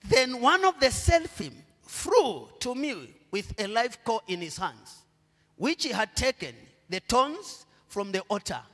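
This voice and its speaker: A middle-aged Zambian pastor preaches in a high, lively voice, asking the congregation to fill in his words. He drops to low murmurs, then rises to loud, stretched-out proclamations. His handheld mic carries a long reverb from the church hall. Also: unhurried. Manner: preaching with call-and-response questions to the congregation. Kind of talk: sermon. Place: church stage, indoors.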